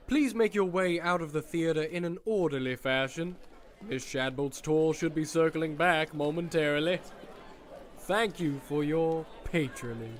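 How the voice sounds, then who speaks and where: An actor playing a flamboyant male circus ringmaster who talks with booming showman patter. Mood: calm. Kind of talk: audio drama acting. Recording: music playing underneath.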